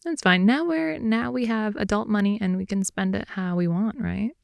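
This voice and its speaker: A young woman improvising an intimate ASMR girlfriend roleplay, rambling in a playful, slangy way and slipping between soft whispers and more animated talk, close to the mic. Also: mid-range voice, normal speed, vocal fry, no room sound. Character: wide-awake chatty girlfriend, silly and playful. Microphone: close microphone, dry sound.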